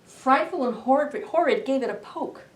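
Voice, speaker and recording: Echoey, animated high-voiced woman hosting storytime. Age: middle-aged. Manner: expressive, deliberate, addressing young children. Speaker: library storytime host. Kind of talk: children's read-aloud storytime. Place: indoor library children's room. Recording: roomy echo, distant camera microphone.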